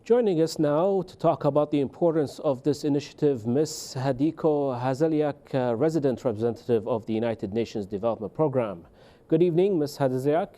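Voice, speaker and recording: A man's voice: mid-range voice, lively intonation, fairly quick, mellow. A man presenting television news, reading scripted introductions and putting formal questions to a guest. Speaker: TV news anchor and interviewer.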